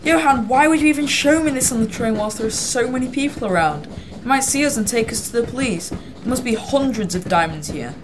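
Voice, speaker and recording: A woman's voice: a little high, expressive, fairly quick, noisy background, natural voice. A young woman playing an anxious, whiny male accomplice to a thief in an amateur audio drama. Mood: alarmed, scolding.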